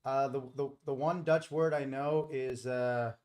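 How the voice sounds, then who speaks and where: A man's voice: mid-range voice, steady tone, measured pace, darkish tone. A middle-aged man hosting a live stream, talking casually and explaining things in a rambling, informal way. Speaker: live stream host and trader.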